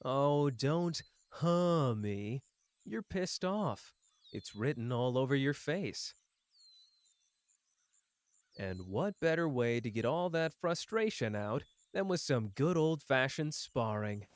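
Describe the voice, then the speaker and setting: A man's voice: mid-range voice, lively intonation, slow pace, dry recording. A man playing a cocky, playful young sorcerer who teases and cares, speaking smugly and intimately to the listener in an ASMR roleplay. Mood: teasing, cocky. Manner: teasing, smug, drawling, intimate. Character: cocky, playful young male sorcerer, teasing but caring.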